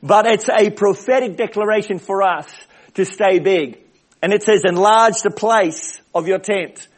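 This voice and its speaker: A man preaches a sermon in a big, booming voice that sits high in pitch. He hammers his points home by repeating short phrases. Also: measured pace. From Australia. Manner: big booming voice, emphatic repetitions. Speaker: visiting preacher. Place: church service.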